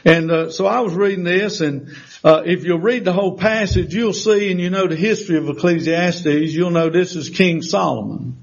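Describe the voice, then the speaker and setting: A man's voice: high voice, moderate pace, gravelly. A man in his sixties preaching a folksy sermon in a church, with emphatic rises and call-and-response. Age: 60s. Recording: dull lo-fi sermon recording.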